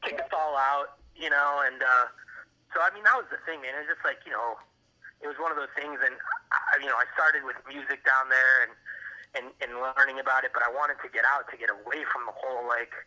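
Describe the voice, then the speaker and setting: A man's voice: medium pitch, fairly even intonation, fairly quick, pushed and bright. An American man from Miami chatting casually on a phone call, rambling through old stories in slangy, profane language. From Miami, USA. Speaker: interview guest on a phone call. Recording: tinny phone line.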